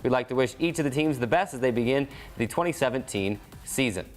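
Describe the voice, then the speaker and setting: A man's voice: mid-range voice, expressive, fast talker, faint music behind. A man with an American accent hosting a college sports recap show. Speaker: sports show host and play-by-play announcer.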